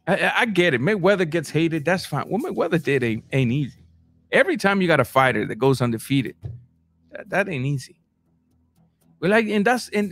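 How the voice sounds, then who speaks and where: A man from Cuba, hosting a casual boxing livestream and reading viewer comments, animated, stumbling over his words and jumping up in pitch. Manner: animated, repeats words and restarts, rising to high bursts.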